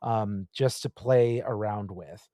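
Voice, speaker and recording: A man and podcast co-host chatting casually about video games, explaining things and asking relaxed questions. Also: lowish voice, takes it slowly, no room sound, clean studio sound.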